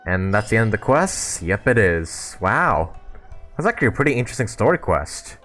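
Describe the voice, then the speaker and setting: Low-pitched guy doing casual gameplay commentary. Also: very animated, normal speed, a bit bright, soft background music. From Canada. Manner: slangy, joking reactions. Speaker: gaming YouTuber. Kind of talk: casual let's-play commentary.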